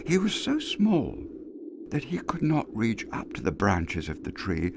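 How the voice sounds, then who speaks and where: A man giving an expressive dramatic reading of a classic tale, lingering over words and dropping to hushed asides. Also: fairly low pitch, measured pace, vocal fry, background noise. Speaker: storyteller. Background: soft music underscore.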